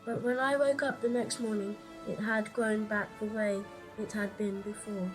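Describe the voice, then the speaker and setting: A woman's voice: medium pitch, little pitch movement, normal speed, close to an everyday voice, poor audio. A woman voicing an earnest, polite, curious young boy wizard in a fan comic dub. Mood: recalling unhappily. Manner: earnest, simple, questioning. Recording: music under the voice.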